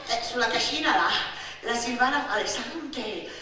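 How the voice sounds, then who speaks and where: A stage actress playing an excitable young girl telling of her country childhood in a breathless, repetitive theatrical monologue. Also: fairly high pitch, normal speed, crowd noise, natural voice. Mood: eager, confiding. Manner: breathless, repeating words, building to climaxes. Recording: echoey hall from far off, noisy.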